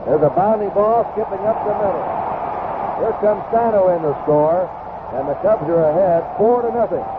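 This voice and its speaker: A man's voice: sits high, normal speed. An American man calling baseball play-by-play as a live radio announcer. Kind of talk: live radio sports commentary. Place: ballpark broadcast booth. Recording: noisy, tinny 1970s AM radio broadcast.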